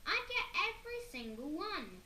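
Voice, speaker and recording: A woman's voice: big pitch swings, normal speed, echoey room, exaggerated voice. A woman voicing a bratty young boy who shrieks, jeers and wails for his own way. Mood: sulky jeering. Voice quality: shrill, yelling.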